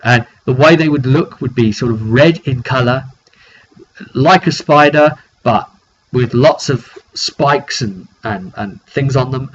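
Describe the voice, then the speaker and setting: A dull, lo-fi recording with clear noise carries a man of middling pitch. He speaks as a game master recapping his campaign informally, leaning on key phrases and pausing for drama. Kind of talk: informal video recap of a roleplaying session.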